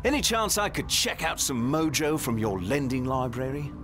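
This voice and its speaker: An actor playing a cynical, brash British con man with a gravelly voice and slangy, sardonic delivery in a game cutscene. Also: mid-range voice, sing-song, normal speed, over music, lightly acted. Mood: wry. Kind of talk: video game cutscene acting.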